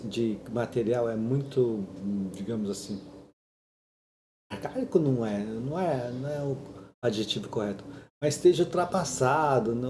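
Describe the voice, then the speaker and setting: Gravelly, mellow-voiced man, relaxed. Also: medium pitch. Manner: conversational, frequent "né?" tags, some restarts. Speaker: Japanese language teacher. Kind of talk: casual talking-head video.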